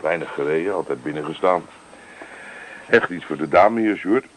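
A folksy car dealer with a very deep voice. He pitches his wares in chummy, hesitant patter. It is a radio drama recording with faint background noise. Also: moderate pace, poor audio. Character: folksy male small-town car dealer, chummy salesman. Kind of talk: Dutch radio play acting.

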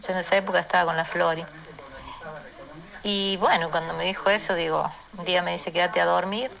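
Casual storyteller, female, Argentine accent. Lowish, creaky voice, colloquial and full of pauses. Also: fairly even intonation, a bit bright, low-quality recording. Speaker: storyteller in a listener voice message. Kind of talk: personal anecdote, casual storytelling.

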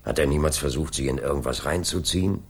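An actor playing a politely persistent police inspector in a radio play, questioning insistently with drawn-out, swooping phrases and a creaky voice. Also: male voice, very deep voice, exaggerated voice. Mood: insistent, suspicious. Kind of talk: 1980s German radio play acting.

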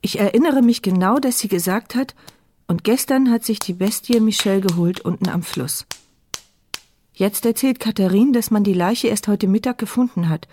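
A woman's voice: mid-range voice, moderate pace, mellow, a little hiss or hum, close to an everyday voice. A woman voicing a wry, bitter inner narrator. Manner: wry, sardonic. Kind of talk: radio play inner monologue.

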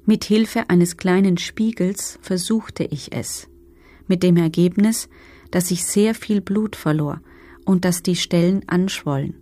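A woman playing a former camp prisoner who gives sober, measured testimony in a documentary radio drama. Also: lowish voice, mellow. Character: former female concentration camp prisoner giving grim testimony.

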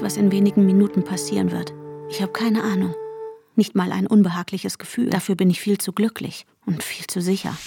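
A woman's voice: mid-range voice, vocal fry, close to an everyday voice. Actress as intense lovestruck woman, radio drama narrator. Intimate, brooding, sometimes whispering, over prominent music. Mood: blissful. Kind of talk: German radio drama first-person narration.

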